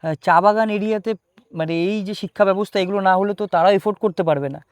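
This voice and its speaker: A man's voice: sits high, fast. A man chatting casually as an interviewer in an agreeable manner. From North Bengal, India. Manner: agreeable, echoes the guest's phrases.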